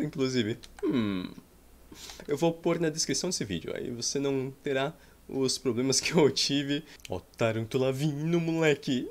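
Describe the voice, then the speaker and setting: A Brazilian man in his thirties giving jokey commentary over his own gameplay from a small room at home, exclaiming at what happens on screen. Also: mid-range voice. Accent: Brazilian Portuguese. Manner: jokey, exclaims and sighs at game events. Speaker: gameplay streamer. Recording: faint game music behind.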